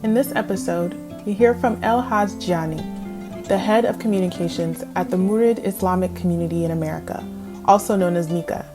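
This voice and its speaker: A woman's voice: fairly low pitch, fairly quick. A woman hosting a podcast, reading a scripted welcome and introduction. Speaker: podcast host. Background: guitar music.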